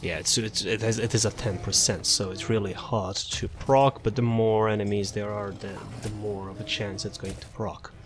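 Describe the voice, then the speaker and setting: A man casually walking through a game character build, relaxed and rambling, with frequent fillers and restarts. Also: lowish voice, moderate pace, hardly any pauses. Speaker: gaming content creator. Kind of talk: casual build showcase commentary.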